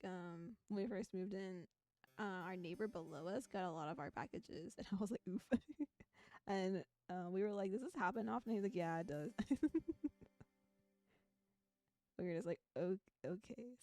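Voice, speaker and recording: A young woman chats softly in a quiet but clear voice, her tone mellow and warm. She giggles often between thoughts, with faint music playing behind her. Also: fairly low pitch. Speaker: streamer. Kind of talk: casual chat and reading a visual novel aloud.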